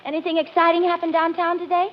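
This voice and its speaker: An actress playing a friendly, inquisitive young suburban housewife in a conversational, expressive 1940s radio sitcom style. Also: female voice, extremely high pitch, fast talker. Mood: inquisitive, peeved. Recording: dull, noisy old radio recording.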